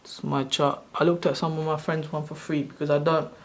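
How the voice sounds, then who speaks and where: Soft-spoken guy in his 30s, kinda rambly, keeps correcting himself, pretty monotone, slightly high voice. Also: brisk. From Sri Lanka. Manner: rambling, loose and self-correcting. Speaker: channel host. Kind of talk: casual screen-share walkthrough.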